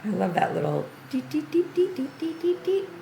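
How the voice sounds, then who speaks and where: An older woman streaming a video game tutorial, chatty and thinking aloud as she plays, her voice going a bit hoarse. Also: high voice, very animated, normal speed, dark tone, roomy sound, a little hiss or hum. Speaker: gaming streamer. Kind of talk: game tutorial livestream commentary.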